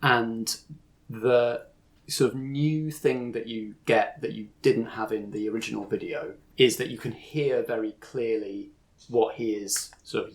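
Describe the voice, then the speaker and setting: Lower-pitched man narrating a game. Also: low-quality recording. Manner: explanatory, thinking aloud. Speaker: game master. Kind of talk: improvised tabletop game narration.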